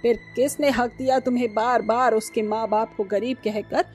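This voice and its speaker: A woman's voice: a little high, steady tone, normal speed, a little mellow, faint music behind, lightly acted. Woman as an older, firm mother-in-law. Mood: stern.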